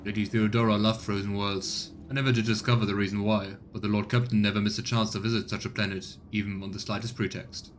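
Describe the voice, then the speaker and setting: A man voicing a formal, deferential ship's officer in a video game, measured and courteous. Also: lowish voice, little pitch movement, a little hiss or hum, natural voice. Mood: respectful, reporting. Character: formal, deferential officer advising a starship lord.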